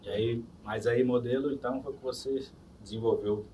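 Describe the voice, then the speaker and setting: A man's voice: medium pitch, brisk. A man, hosting a casual podcast interview and reading sponsor plugs. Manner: relaxed, chatty, reads sponsor plugs. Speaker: podcast host. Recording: distant mic with faint room noise.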